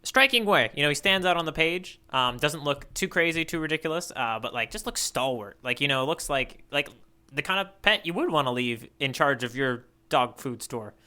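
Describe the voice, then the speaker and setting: A man with a bright, forward voice that sits a little high. He talks as a podcast co-host, thinking aloud in a halting, easygoing, conversational manner. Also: lively intonation, smooth. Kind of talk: casual chat.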